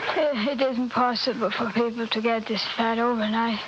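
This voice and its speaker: A woman's voice: fairly high pitch, steady tone, moderate pace, close to an everyday voice. An actress playing a desperate, panicky honeymooning wife in a 1960s horror radio drama, pleading and repeating her words. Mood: fearful, pleading. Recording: dull, noisy old radio recording.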